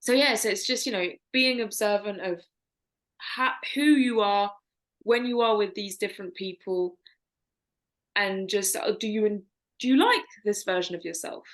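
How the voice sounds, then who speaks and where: A woman's voice: mid-range voice, normal speed. A woman co-hosting a podcast, giving earnest, chatty dating advice. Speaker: podcast co-host. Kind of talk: casual chat.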